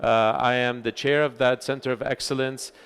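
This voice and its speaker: A man giving a conference talk as chair of a research center, speaking loudly into a microphone with frequent filler sounds and restarts. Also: medium pitch, flat delivery, normal speed, smooth. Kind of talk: conference presentation. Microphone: handheld or stage microphone.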